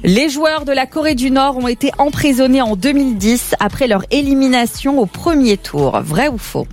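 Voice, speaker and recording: Female quiz presenter, fairly high voice, casual live radio questioning over soft music. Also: lively intonation, normal speed. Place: radio studio.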